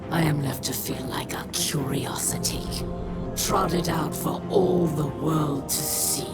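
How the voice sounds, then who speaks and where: An actress playing a bitter, imprisoned alien former ruler in a video game, sneering and self-pitying. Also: female voice, deep voice. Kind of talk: video game cutscene voice acting.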